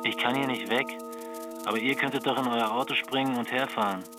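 A man playing a smooth, probing travelling buyer in a naturalistic radio drama, with a creaky voice. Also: medium pitch, fairly even intonation, a little fast, music in the background, close to an everyday voice. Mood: insistent. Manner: probing, insinuating. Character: smooth, insinuating travelling buyer, a propositioning businessman.

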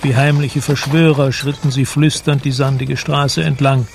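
A man narrating a literary radio play in a measured, solemn manner. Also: medium pitch, level tone, creaky, a little hiss or hum.

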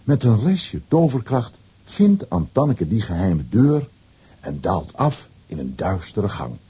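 A man narrating a scripted children's audio story with a husky voice and wry asides. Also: fairly low pitch, sing-song, takes it slowly, warm tone.

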